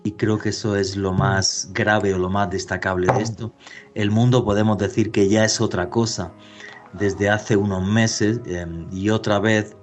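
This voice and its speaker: Low-voiced earnest radio host. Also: male voice, flat delivery, unhurried, music in the background. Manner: earnest, opinionated, expansive. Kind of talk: podcast interview introduction.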